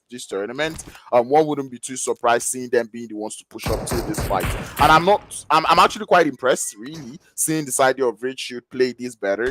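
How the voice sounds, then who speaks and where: A man giving live esports analysis, animated and rising in excitement on big plays. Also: medium pitch.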